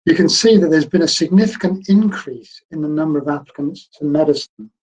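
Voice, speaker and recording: An older man, a medical school professor, giving a conversational online lecture in a croaky voice, explaining things in an encouraging way. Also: on the high side, brisk, a little mellow, poor audio.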